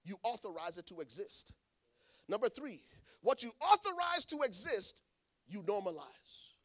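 Pastor guy preaching in church, kinda high voice, gets real loud then drops quiet. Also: very animated, a little slow. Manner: dynamic preaching, swinging from hushed to shouting. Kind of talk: sermon. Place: church in front of a congregation.

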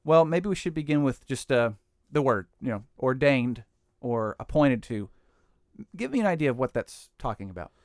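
A man's voice: medium pitch, big pitch swings, moderate pace, no echo, studio quality. Man hosting a Bible talk show. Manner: brief affirming responses and short questions. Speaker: radio show host. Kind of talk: interviewer prompting a guest.

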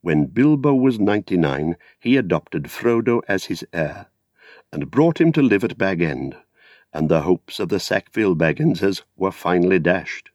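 An older British man narrating a literary story in a measured, theatrical way. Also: low-pitched, expressive, a little mellow, dry recording, studio quality. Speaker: audiobook narrator.

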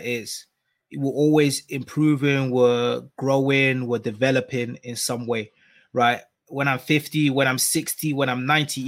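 A man's voice: medium pitch, fairly bright tone. A man in a casual group discussion, explaining his views reflectively and often checking in with "right?". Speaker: panel guest.

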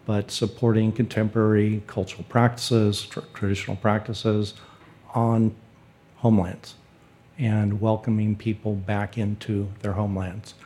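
A man with a low, mellow voice talks off the cuff on a panel. His pitch stays very level, and his phrasing is plain and a little halting. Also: little pitch movement. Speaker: panelist, state park official. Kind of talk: unscripted panel talk.

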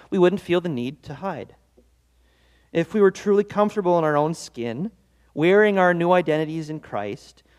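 A man preaching a devotional sermon as pastor, earnest and conversational, with self-deprecating asides. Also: sits high. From Norwegian-American heritage.

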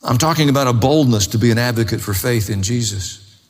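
A man's voice: mid-range voice, fairly quick. A man preaching a sermon in an emphatic, plain-spoken way, stressing points with short repeated phrases. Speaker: pastor, preacher. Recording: long reverberant hall, faint music.

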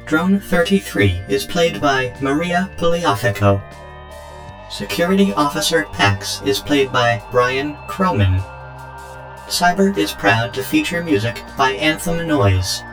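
Male announcer, scripted credits read, unhurried pace, expressive intonation, guitar music behind. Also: mid-range voice, poor audio.

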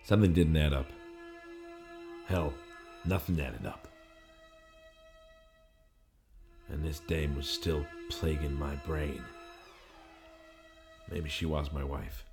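A man's voice: very deep voice, slow, vocal fry, pauses often, over music, full character voice. A man playing a confused, suspicious amnesiac in a film noir audio drama, terse and agitated, both narrating and speaking in scenes. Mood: brooding, rattled. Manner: terse, agitated, muttering to himself.

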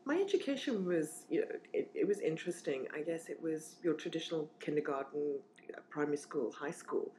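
A woman's voice: low-pitched, fairly quick, mellow, a little hiss or hum. A woman in her forties from southern Africa, talking casually and reflectively as an interview guest, with plenty of fillers and restarts. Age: 40s.

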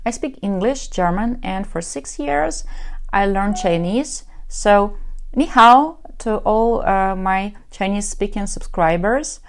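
A woman's voice: mid-range voice, lively intonation, takes it slowly. Ukrainian matchmaker woman, frank podcast talk. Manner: confident, frank and wry. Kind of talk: solo podcast monologue.

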